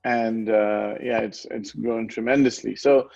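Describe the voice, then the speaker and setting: A man's voice: on the low side, steady tone, measured pace, vocal fry, darkish tone, dry recording, lo-fi sound, faint music behind. A man in his forties from Sri Lanka, a guest in a conversational interview who talks in a rambling way, corrects himself and chuckles often. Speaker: guest, wealth management founder.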